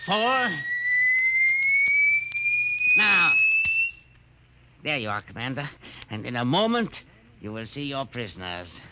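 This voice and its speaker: An actor playing an anxious scientist who speaks in careful, hesitant explanations in a 1950s radio drama. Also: male voice, mid-range voice, wildly swooping pitch, slow pace, long pauses. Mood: tense. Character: anxious male scientist serving a commander. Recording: very hissy old radio broadcast.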